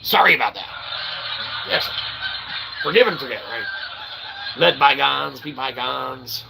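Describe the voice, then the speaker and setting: A man's voice: medium pitch, lively intonation, measured pace, vocal fry, background noise. A man hosting a livestream, rambling through a monologue and checking in with "okay?" and "all right". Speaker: livestream host.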